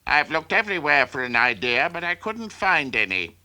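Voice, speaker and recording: A man's voice: on the high side, very animated, moderate pace, sharp tone, heavily acted. A man voicing a fussy, exclamatory duck in a children's storybook reading. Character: loud, fussy duck, eager helper.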